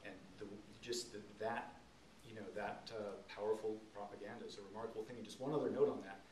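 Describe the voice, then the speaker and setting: A middle-aged man with a fairly low voice gives an unscripted talk. He explains earnestly and emphatically, pausing as he searches for phrasing. The sound is roomy and picked up from some distance, with faint noise behind it. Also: steady tone, a little mellow. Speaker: author giving a talk. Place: indoor event room, seated on stage.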